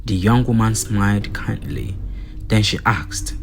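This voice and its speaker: A man narrating a scripted folktale in a measured storytelling manner, in short phrases with pauses. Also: lowish voice, fairly even intonation, slight background noise.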